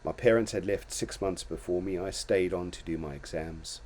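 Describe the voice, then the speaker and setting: A man's voice: low voice, normal speed, a little mellow, slight background noise. An older man from Zimbabwe hosting a casual talk show, reflecting on his own life in a storytelling way with many restarts and small chuckles. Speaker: host.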